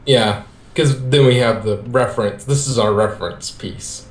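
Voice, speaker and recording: A man's voice: mid-range voice, normal speed. A man talking casually and conversationally. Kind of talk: casual chat. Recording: roomy echo.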